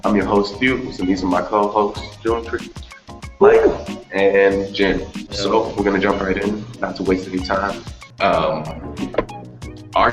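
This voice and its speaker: Deep-voiced male host, roomy sound. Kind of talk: casual chat. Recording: muffled, roomy, music behind.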